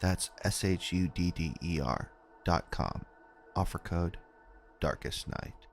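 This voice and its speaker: Very deep, gravelly male announcer. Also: slow pace, soft background music. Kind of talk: scripted sponsor read.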